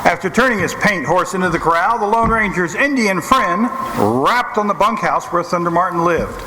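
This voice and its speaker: A man's voice: high voice, normal speed, heavy background noise, close to an everyday voice. A man narrating a western adventure in the style of an old time radio play, performed live. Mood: storytelling. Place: live theatre stage.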